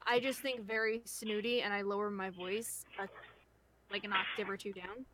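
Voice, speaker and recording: A woman's voice: medium pitch, steady tone, moderate pace, background noise. A woman chatting casually in a group conversation, talking as a voice actor. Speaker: voice actor on a web series livestream.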